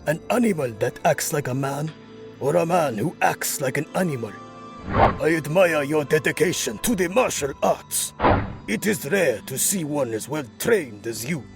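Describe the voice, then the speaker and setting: A man voicing an old rat martial arts master who speaks in a calm, formal, measured way. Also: fairly high pitch, creaky, loud music behind the voice.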